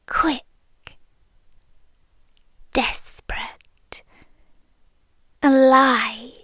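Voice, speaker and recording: A British woman voicing a possessive, doting female spider monster in an intimate ASMR roleplay, speaking softly, often whispering, with sweet menace. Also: high voice, no echo, exaggerated voice. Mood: eerily fascinated.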